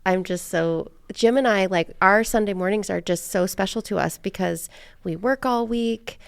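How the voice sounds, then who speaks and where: A woman's voice: medium pitch, normal speed, vocal fry, smooth, no echo, clean studio sound. A woman hosting a podcast, chatting casually in a conversational interview style. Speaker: podcast host.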